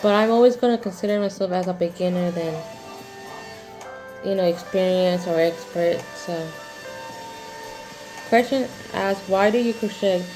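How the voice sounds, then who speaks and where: A woman in her twenties hosting a casual crochet Q&A vlog, talking in a halting, rambling way with lots of fillers and restarts. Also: mid-range voice, over music, poor audio. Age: 20s. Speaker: crochet vlogger.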